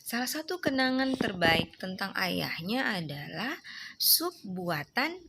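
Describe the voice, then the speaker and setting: Woman, mother reading aloud, bright voice, coaxing questions, faint background noise. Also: mid-range voice, very animated, gravelly. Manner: slow, gentle, coaxing, asks the child questions. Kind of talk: bedtime read-aloud with questions to a child.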